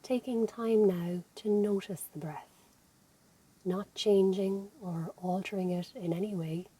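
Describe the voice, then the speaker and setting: A woman with an Irish accent leading a guided meditation as an instructor, speaking in a gentle, soothing, unhurried manner. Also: mid-range voice, fairly even intonation, husky, poor audio. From Ireland. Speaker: physiotherapist and yoga instructor leading relaxation.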